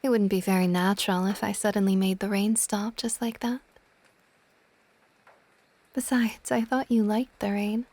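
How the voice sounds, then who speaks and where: Soft, mellow dragon goddess, acted by a woman. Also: medium pitch, flat delivery, normal speed, pauses often, lightly acted. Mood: gently probing. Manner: soft, intimate, partly whispered, affectionate. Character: ancient dragon goddess, gentle, doting, possessive older woman. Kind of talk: ASMR romantic audio roleplay.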